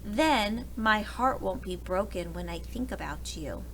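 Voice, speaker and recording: A woman reads as a kindly, weary storybook uncle who frets. The delivery is slow and earnest, with a bright tone and clear background noise. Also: medium pitch, expressive, rough recording. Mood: resigned. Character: weary, kindly, fretful uncle attached to his old hat.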